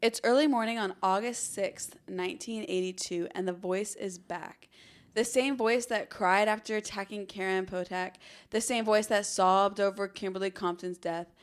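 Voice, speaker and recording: A woman's voice: mid-range voice, fairly even intonation, normal speed. Chatty woman telling stories casually. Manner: informal, chatty, acts out imagined remarks. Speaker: podcast co-host. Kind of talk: casual conversational true-crime storytelling.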